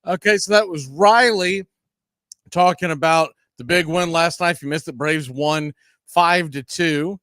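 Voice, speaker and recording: A man with a fairly high voice hosts a baseball pregame show. He talks off the cuff in a commentary style, recorded close and dry. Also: a little slow, fairly bright tone, dry recording. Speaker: sports show host.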